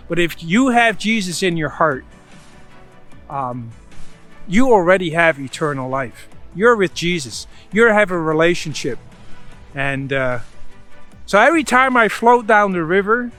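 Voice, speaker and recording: Guy with a pretty high voice, folksy bible storyteller, chatty and casual, bit of music behind. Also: fairly bright tone. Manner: folksy, conversational, repeats "you know".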